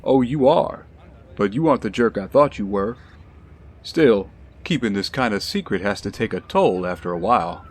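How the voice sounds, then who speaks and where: A man playing a steady, loyal ex-soldier bodyguard in an audio drama, calm and measured. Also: lowish voice. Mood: curious.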